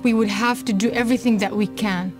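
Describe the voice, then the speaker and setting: A woman from Israel telling her personal story in an earnest, measured way. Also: fairly high pitch, steady tone. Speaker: speaker in a personal testimony video. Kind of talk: personal testimony. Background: background music.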